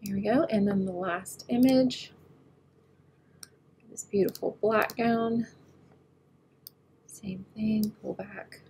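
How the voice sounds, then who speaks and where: A woman getting over a head cold, slightly nasal, talking through a photo editing tutorial as she works and often trailing off quietly. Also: medium pitch, steady tone, slow pace, pauses often, a little hiss or hum. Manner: thinks aloud while working, often trailing off quietly. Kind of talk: screen-recorded editing tutorial.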